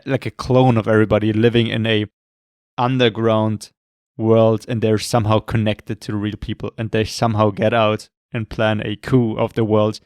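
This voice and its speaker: A man co-hosting a podcast, talking casually about horror films, rambling and swearing often, with a voice going hoarse. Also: lowish voice, a little mellow, dry recording, studio quality. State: losing his voice, throat clearing. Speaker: podcast co-host. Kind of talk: casual film chat.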